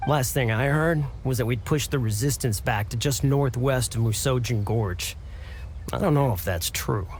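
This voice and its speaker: An actor playing an unhurried army patrol guard in a video game, musing casually. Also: male voice, mid-range voice, a little hiss or hum, close to an everyday voice.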